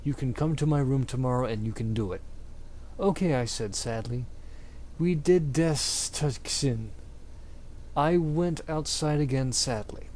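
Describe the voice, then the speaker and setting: A man's voice: medium pitch, measured pace, darkish tone, slight background noise. A man giving a mock-dramatic amateur reading of fiction, with a theatrical, exaggerated delivery. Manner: theatrical, exaggerated delivery, often breaking into giggles. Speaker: amateur reader of fan fiction.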